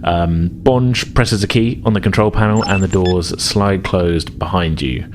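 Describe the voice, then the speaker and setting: Low-voiced man running a tabletop game. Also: a little slow. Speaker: game master. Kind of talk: improvised narration of a tabletop roleplaying game. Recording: clear background noise.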